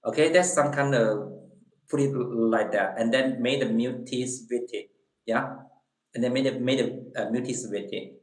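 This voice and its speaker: Male streamer with a Chinese accent, unhurried and halting, mid-pitched. Casual viewer chat in an echoey room. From Eastern China. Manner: halting, repeats words, searches for English phrases. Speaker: livestream host.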